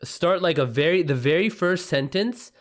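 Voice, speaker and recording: A man's voice: on the high side, expressive, normal speed. A man hosting a livestream in a casual, informal way, chatting with viewers in slangy, sweary language. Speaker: livestream host, author taking writing questions. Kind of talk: casual livestream chat, reading and answering messages.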